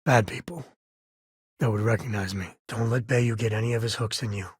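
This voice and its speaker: A man voicing a rugged, good-hearted space explorer and father in a video game, with a husky rasp and a folksy, earnest way of talking. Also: on the low side, steady tone, brisk, no room sound, studio quality. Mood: guarded. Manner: folksy, colloquial, earnest delivery.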